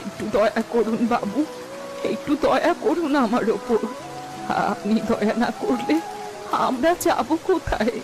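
A woman's voice: on the high side, lively intonation, a little slow, music in the background. A woman playing a poor, worried old village mother in a cartoon, pleading and lamenting. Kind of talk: Bengali fairy-tale cartoon dialogue.

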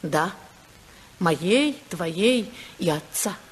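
An actress playing a dignified, disillusioned senator's wife, earnest, measured and defiant, in a 1950s Soviet radio drama. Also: female voice, fairly low pitch, animated, husky, exaggerated voice. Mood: sad, reproachful.

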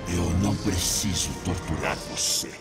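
A Brazilian man voicing a cold, sadistic spectral jailer in an audio drama, slow and taunting. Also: low voice, loud music behind the voice.

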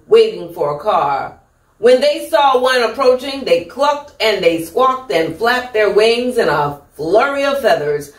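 A middle-aged woman hosting storytime, reading a children's picture book with deliberate, theatrical emphasis. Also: a little high. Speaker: storytime host. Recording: echoey room, distant microphone.

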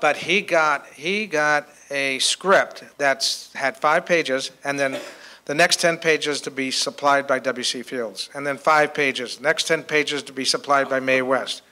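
An older man telling anecdotes to an audience on a panel, in a halting way. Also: mid-range voice, sharp tone. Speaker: panelist and author. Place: hotel conference room with an audience. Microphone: handheld microphone.

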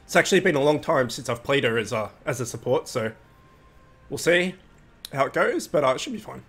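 A young man hosting a casual gaming video, sounding nasal from a cold, chatty and relaxed with lots of fillers. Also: medium pitch, brisk, breathy edge. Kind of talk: casual gameplay commentary.

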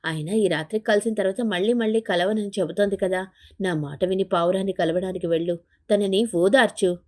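A woman's voice: mid-range voice, steady tone, a little fast, darkish tone, lightly acted. Woman voicing a sly, scheming cartoon parrot. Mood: persuasive. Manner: sly, wheedling, plotting. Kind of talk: animated bird fable dialogue.

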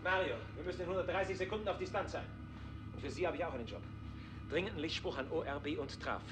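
A brusque starship commander played by a man snaps out orders at speed in a high-pitched voice. It is vintage sixties TV science fiction, with music behind the clipped, pressured delivery. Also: quick pace, natural voice. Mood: tense, commanding. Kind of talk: 1960s German TV science fiction acting. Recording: 1960s TV soundtrack with loud music.

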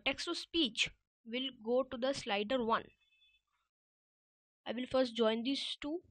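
A woman with a high-pitched voice walks through a screen tutorial step by step. She speaks off the cuff, haltingly, often correcting herself. The voice-over sounds dry, with very little room sound. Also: no echo, rough recording. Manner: halting, self-correcting delivery. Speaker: app-building tutorial presenter.

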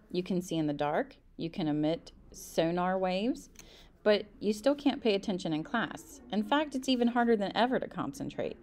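A woman reads a children's book aloud in an expressive, emphatic storytelling style. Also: on the low side. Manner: animated, emphatic delivery.

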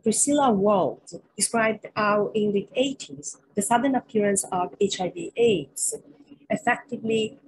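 Middle-aged woman lecturing on a webcam call, measured, lots of ums and pauses, mid pitch. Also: low-quality recording. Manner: measured, frequent fillers and pauses. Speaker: keynote speaker. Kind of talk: academic lecture over slides. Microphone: webcam on a video call.

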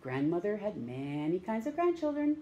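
A woman's voice: mid-range voice, sing-song, normal speed, mellow, muffled recording, slight background noise. A middle-aged woman reading a picture book aloud to young children, theatrically drawing out words and putting questions to her listeners. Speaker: storytime host reading aloud. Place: small room at home, indoors.

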